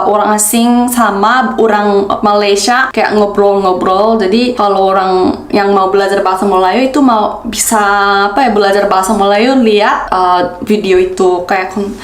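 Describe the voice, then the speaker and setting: A Korean woman in her twenties, telling a personal story in a chatty, casual way with many fillers. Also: medium pitch. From South Korea. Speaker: former student telling a story. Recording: echoey room.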